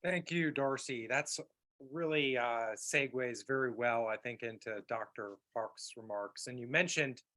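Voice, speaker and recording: A man's voice: mid-range voice, measured pace, bright, forceful tone. Man moderating a webinar panel. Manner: loose, meandering phrasing with fillers. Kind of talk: moderating, introducing next speaker.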